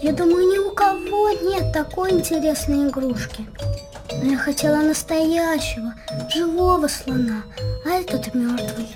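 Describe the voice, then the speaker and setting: Little girl, tiny high voice, frail, stubborn, pleading, childlike, radio play, tinkling music. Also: unhurried. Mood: wistful, pleading. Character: frail, wistful, stubborn six-year-old sick girl.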